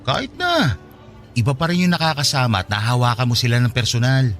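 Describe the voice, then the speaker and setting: An actor playing a persistent, teasing young boyfriend in a radio drama, with a conversational, questioning way of talking. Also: male voice, medium pitch, big pitch swings, fairly quick, fairly bright tone. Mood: coaxing. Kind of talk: Tagalog radio drama acting. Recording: music behind the voice.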